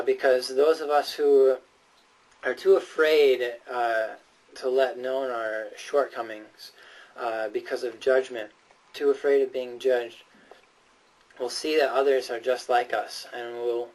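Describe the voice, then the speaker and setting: A man's voice: medium pitch, level tone, measured pace, a little mellow. A man delivering a persuasive, sermon-like speech in an earnest, emphatic and often hesitant way. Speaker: speaker giving a prepared speech. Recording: voice distant from the microphone.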